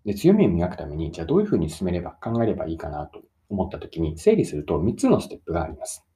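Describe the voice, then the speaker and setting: Man, lowish pitch, animated intonation, methodical explainer, emphasis on key words, addressing listeners. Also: very fast. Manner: methodical, stresses key words and recaps points. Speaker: presenter giving career self-development advice. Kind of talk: explanatory solo talk, addressing listeners directly.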